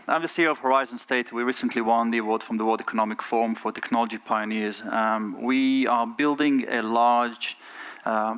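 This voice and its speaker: An Israeli-accented man of middle age speaks from a conference stage at an ordinary pitch. He talks earnestly and keeps putting rhetorical questions to the audience. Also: fairly bright tone. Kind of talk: keynote talk.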